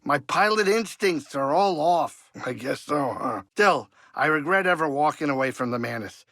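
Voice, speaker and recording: An actor playing a wisecracking older alien pilot and cook in a video game, grumbling and blustery but warm-hearted. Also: male voice, a little high, animated, moderate pace, dry recording, clean studio sound. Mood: nostalgic. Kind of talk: video game character acting.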